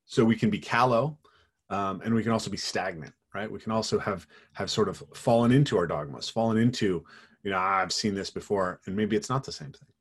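A man's voice: fairly low pitch, fairly quick, breathy edge. A man in his late thirties talking reflectively in casual conversation, often checking in with "right?". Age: 30s. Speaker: parkour practitioner.

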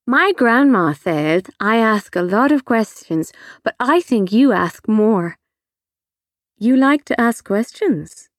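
A woman's voice: on the high side, expressive, slow, dry recording, studio quality. A woman voicing a chatty, blunt little girl of about five in an audiobook, full of childlike questions. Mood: inquisitive, blunt. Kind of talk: audiobook character voice.

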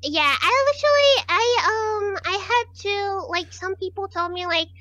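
A young woman in her twenties from Algeria, calling in with a very high, girlish voice and chatting loosely with lots of fillers and casual swearing. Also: a little slow, pushed and bright, dry recording, muffled recording. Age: 20s. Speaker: caller. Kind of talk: casual call-in chat.

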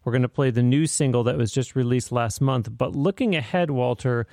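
A man's voice: mid-range voice, brisk, creaky, dark tone, dry recording, studio quality. A man hosting a podcast interview in an articulate, orderly way, with prepared introductions and questions. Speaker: podcast host.

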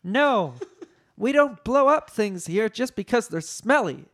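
A man playing an indignant woman general, pitched up high. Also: expressive, unhurried, full character voice. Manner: indignant shouted demands. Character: haughty, furious woman general, space princess.

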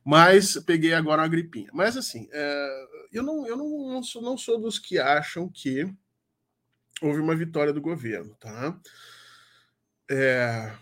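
A man's voice: fairly high pitch, expressive, a little slow, fairly bright tone. A man with a cold, speaking as a political commentator and teacher. He explains at length, with many fillers and restarts. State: congested with a cold. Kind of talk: casual live show commentary.

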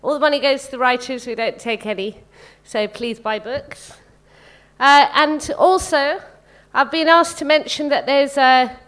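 Woman host w/ high voice doing casual announcements at a live event. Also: a bit bright. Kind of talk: informal live stage announcements. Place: live literary event before an audience.

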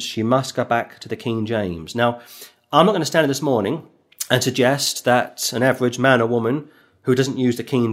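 A man preaching a sermon, quoting scripture in a raised voice and dropping low for personal asides.

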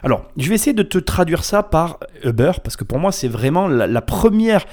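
A man's voice: on the high side, very animated, normal speed, a little mellow. A man hosting a solo podcast in an informal, playful and emphatic way, often wandering off on tangents. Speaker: podcast host. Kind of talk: casual solo podcast monologue.

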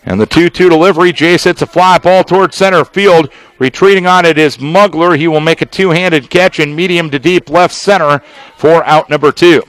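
Higher-voiced man calling baseball on radio. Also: normal speed, a bit bright, no echo, poor audio. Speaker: baseball radio play-by-play announcer. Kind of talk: live sports play-by-play commentary.

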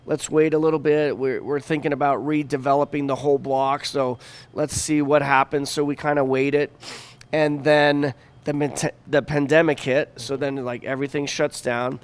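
A man in his fifties with a smooth, clear voice talks in a casual interview as a chef and restaurant owner. His way of speaking is loose, slangy and conversational. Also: fairly high pitch, flat delivery, normal speed. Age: 50s. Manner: informal, slangy. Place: downtown Denver venue.

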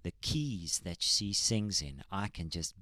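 A man who leads church worship, talking in an earnest, thoughtful way with frequent pauses and ums. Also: very animated, bright, forceful tone, no echo, clean studio sound. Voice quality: high tenor singing voice. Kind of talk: casual church podcast chat.

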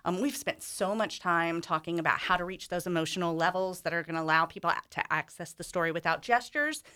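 A woman's voice: on the low side, brisk, pushed and bright, smooth. A woman speaking enthusiastically and emphatically as a guest director in a casual interview.

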